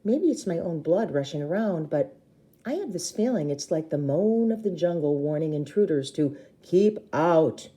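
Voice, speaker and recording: Very mellow, lower-voiced woman reading aloud. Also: lively intonation, normal speed. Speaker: teacher reading a novel aloud to children. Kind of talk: expressive storybook read-aloud with brief explanations.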